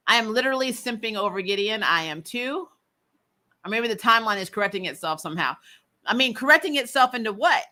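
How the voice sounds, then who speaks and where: Bright, chatty, giggly recap host. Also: mid-range voice. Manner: animated, giggly. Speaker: show host. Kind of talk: casual chat reading and answering live comments.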